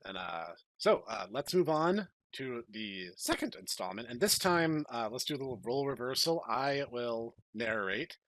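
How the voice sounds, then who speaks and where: Guy podcast host, bright punchy voice, rambles a bit, super dry sound. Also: mid-range voice, lively intonation, normal speed, few pauses, no room sound. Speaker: podcast host and story narrator. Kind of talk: casual chat and dramatic reading.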